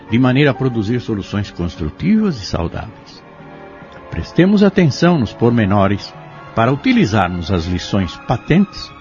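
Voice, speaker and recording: A Brazilian man delivers a scripted religious reflection in a formal, teaching manner. His mid-pitched voice moves widely up and down, over faint music in a slightly dull recording. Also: a little mellow. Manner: measured, instructive, formal. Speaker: spiritist commentator. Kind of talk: didactic scripted religious commentary. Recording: slightly muffled, with music behind. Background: background music.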